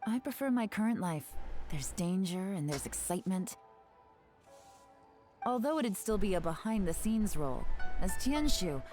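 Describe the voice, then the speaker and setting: A woman's voice: medium pitch, sing-song, moderate pace, long pauses, darkish tone, faint music behind, natural voice. A woman voicing a cool, confident and secretive intelligence agent in a video game, composed and sly. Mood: sly, teasing.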